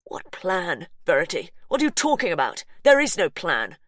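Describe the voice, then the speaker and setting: A woman reading an audiobook, voicing a distressed young man who tries to stay calm and reason gently. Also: fairly low pitch, moderate pace, dry recording, clean studio sound.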